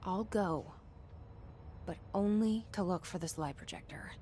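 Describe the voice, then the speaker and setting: An actress playing a determined, guarded young woman in a dramatic video game, often hushed as if thinking aloud. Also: lowish voice, measured pace, a little hiss or hum, natural voice. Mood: wary, resolute.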